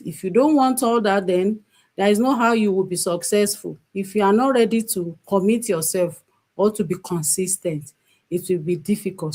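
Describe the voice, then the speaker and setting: A woman's voice: medium pitch, darkish tone. Earnest woman hosting a live stream. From Nigeria. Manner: earnest, repetitive, with frequent hesitant fillers. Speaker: co-host and business mentor. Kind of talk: motivational live-stream talk.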